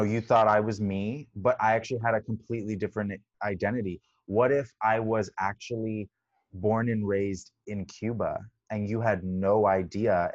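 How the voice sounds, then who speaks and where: A man's voice: lowish voice, dry recording, lo-fi sound. A man co-hosting a podcast, chatting casually and joking playfully. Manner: joking, playful, quick asides. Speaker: podcast co-host. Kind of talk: casual chat.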